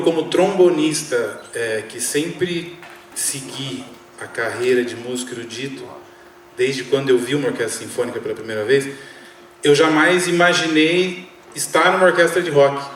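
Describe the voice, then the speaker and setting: A man's voice: mid-range voice, slight background noise. A man, a trombonist, speaking on stage in a reflective, hesitant way with fillers. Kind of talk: talk on stage. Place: theater hall. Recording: echoey theater, speaker far from mic.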